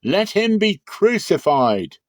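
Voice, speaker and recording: A man voicing an angry crowd that chants its demands slowly and loudly. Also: sits high, sing-song, dry recording, clean studio sound, voice pushed up, exaggerated voice. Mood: demanding. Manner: slow, chanting shouts. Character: angry shouting mob of people.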